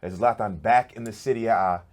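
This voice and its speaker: A man's voice: lowish voice, moderate pace. A man from the United States hosting a casual sports talk show, chatty and upbeat.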